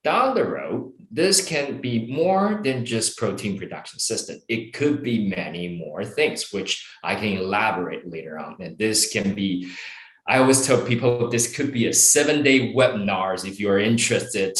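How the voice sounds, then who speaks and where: Middle-aged man from Taiwan, founder type, low voice with lively swings, in an echoey room. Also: normal speed. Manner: animated, speaks in long run-on explanations with fillers. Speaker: startup founder and CEO. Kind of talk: conversational interview answers.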